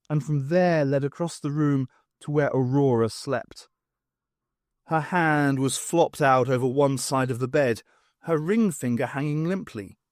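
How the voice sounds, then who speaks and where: A man reading an audiobook as narrator in a steady storytelling manner. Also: medium pitch, no room sound, clean studio sound. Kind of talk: scripted narration.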